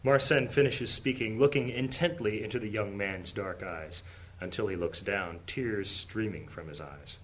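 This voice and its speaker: A man's voice: fairly low pitch, normal speed, a little hiss or hum, natural voice, low-quality recording. A man acting as the solemn storyteller of an epic fantasy audio drama, reading scripted dramatic narration. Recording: music bed under the voice. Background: music.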